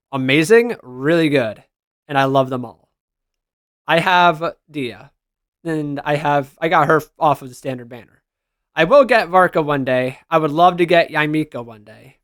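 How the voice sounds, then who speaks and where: A man's voice: fairly high pitch, a bit bright, no echo, studio quality. A man giving casual, slangy reaction commentary as a gaming content creator, responding aloud to what he reads.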